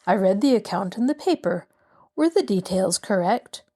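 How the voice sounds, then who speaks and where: A woman voicing a courteous middle-aged male lawyer who speaks in a measured, reassuring way. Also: medium pitch, expressive, dark tone, lightly acted. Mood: calm.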